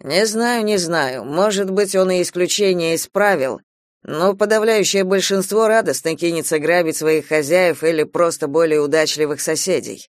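A man voicing a gloomy, alarmist gentleman adviser in an audiobook, speaking emphatically and persuasively. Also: fairly high pitch, moderate pace, no room sound, clean studio sound, close to an everyday voice.

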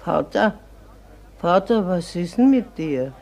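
A woman's voice: fairly low pitch, lively intonation, slow pace, gravelly, dark tone, exaggerated voice. A woman playing an anxious old weaver's wife in a radio drama, plaintive and faltering. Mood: frightened. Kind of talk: German radio drama acting. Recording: noisy radio drama with crowd din.

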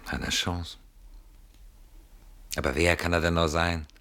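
A deep-voiced man in a radio drama, anxious and brooding. He reasons aloud in an articulate, ironic way, always on the defensive, with a theatrical delivery. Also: steady tone, slow, bright, forceful tone, full character voice. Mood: wary, uneasy. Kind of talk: German radio play acting.

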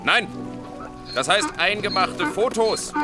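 A man acting a polite, hesitant aide in a satirical radio drama, deferential and halting. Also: sits high, animated, music in the background.

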